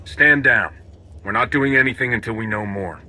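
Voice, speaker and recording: An actor playing a commanding, direct smuggler hero in a video game. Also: male voice, mid-range voice, normal speed, bright, forceful tone, faint music behind, low-quality recording. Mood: firm. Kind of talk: video game cutscene acting.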